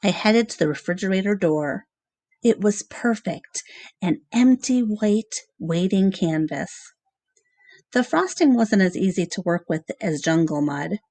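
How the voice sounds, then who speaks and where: Woman doing a read-aloud of a kids book, slow n expressive, pauses between bits, dry sound. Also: lowish voice, gravelly, no room sound. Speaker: reader reading a children's novel aloud. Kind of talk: read-aloud storybook narration.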